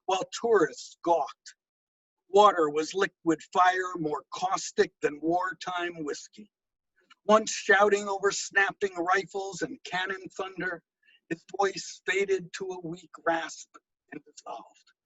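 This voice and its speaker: A man reading his own poetry and introducing it, with a measured, deliberate delivery. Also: high-pitched, gravelly, a bit bright, no room sound, low-quality recording. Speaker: poet reading own work.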